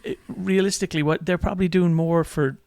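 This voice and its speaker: A man's voice: high voice, fairly even intonation, creaky, a little mellow, no echo, clean studio sound. A man co-hosting a podcast in casual chat, rambling and poking fun at himself. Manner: quick, rambling, self-mocking. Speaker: podcast co-host.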